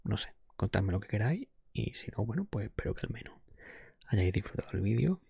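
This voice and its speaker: A man whispering an ASMR podcast monologue in a soft, breathy, husky voice, speaking close to the microphone in an unhurried, rambling way. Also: lowish voice, big pitch swings, no room sound. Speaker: host of an ASMR podcast channel.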